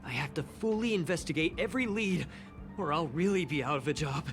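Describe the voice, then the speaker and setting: An actor playing an excitable young space station investigator who puzzles aloud in scripted game dialogue. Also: mid-range voice, a little fast, lightly acted. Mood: confused. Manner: animated, pondering aloud. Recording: background music under dialogue.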